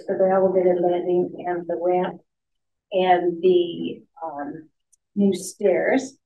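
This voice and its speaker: A woman's voice: lowish voice, vocal fry, mellow. A woman presenting a project update to a board in a plain, matter-of-fact way, with many ums and restarts, recorded in a large meeting room through a table microphone. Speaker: staff member presenting to a board. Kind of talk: meeting report. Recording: echoey, distant, dull, faint hiss.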